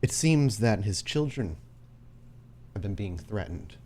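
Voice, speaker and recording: A man playing a commanding male crime patriarch who speaks in a measured, deliberate way. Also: fairly low pitch, animated, mellow. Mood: calm menace. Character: commanding, coolly smiling male crime patriarch with ringed fingers.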